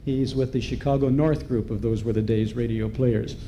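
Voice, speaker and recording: Mellow-voiced man hosting a live event. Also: mid-range voice, fairly even intonation, moderate pace. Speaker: event host and master of ceremonies. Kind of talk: live introductions of performers to an audience. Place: large hotel ballroom, live event. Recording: live ballroom recording with background noise.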